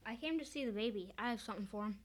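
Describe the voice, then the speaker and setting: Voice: medium pitch, rapid-fire, dry recording, close to an everyday voice. A performer playing an eager, oblivious little boy in an amateur comic radio play, cheerfully persistent. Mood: eager, proud.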